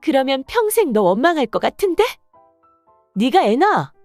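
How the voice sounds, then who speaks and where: A woman playing a sharp, complaining young wife. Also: high-pitched, sing-song, normal speed, no echo, faint music behind. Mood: irritated. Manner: accusing, complaining. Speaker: voice actor reading the wife's lines. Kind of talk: scripted radio drama reading.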